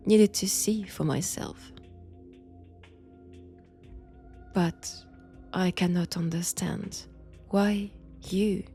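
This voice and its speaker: A woman playing a mad, possessive young vampire in a roleplay audio, with a French accent and a slow, hushed, intimate delivery that often slips into whispers. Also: on the low side, vocal fry. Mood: possessive, menacing.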